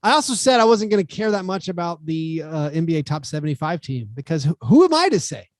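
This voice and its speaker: A man with a notably high voice co-hosts a casual basketball podcast. He talks in animated bursts full of restarts and mock outrage. The sound is close and dry, with no room around it. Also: no room sound. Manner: animated, lots of quick restarts and joking outrage. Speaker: podcast co-host. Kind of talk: casual sports chat. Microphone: studio podcast mic.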